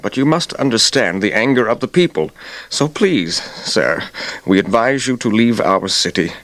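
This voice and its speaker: A man's voice: mid-range voice, expressive, normal speed, a bit bright, no room sound, natural voice, poor audio. A man playing a contrite, pleading Roman judge, formal and apologetic, in a dramatized Bible audio drama.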